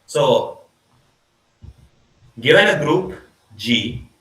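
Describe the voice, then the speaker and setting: A man's voice: medium pitch, very animated, extremely slow, a bit bright, distant mic. Young man teaching maths on a video call. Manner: informal, repeats words and restarts, trails off often. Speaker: student lecturer. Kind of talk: online math lecture. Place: small room indoors. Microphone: laptop mic on video call. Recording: echoey video call audio.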